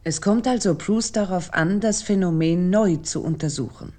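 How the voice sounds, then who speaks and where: A woman performing as a thoughtful essayist, narrating a scripted radio essay in a measured, articulate, formal way. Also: on the low side, warm tone, natural voice. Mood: measured, reflective.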